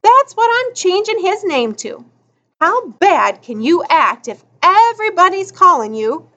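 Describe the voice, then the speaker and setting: A woman voicing a bossy, exasperated, sharp-tongued girl in a storybook reading, scolding with emphatic, colloquial phrasing. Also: very high voice, big pitch swings, measured pace, pitched above the performer's own voice, exaggerated voice. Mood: indignant. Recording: dull lo-fi audio.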